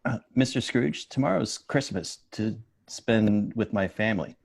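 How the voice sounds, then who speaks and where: A man's voice: lowish voice, unhurried, vocal fry, slightly rough, exaggerated voice. A man playing a meek, polite, hard-working office clerk in a table read, deferential and a little hesitant. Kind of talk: amateur table read of a Victorian Christmas story.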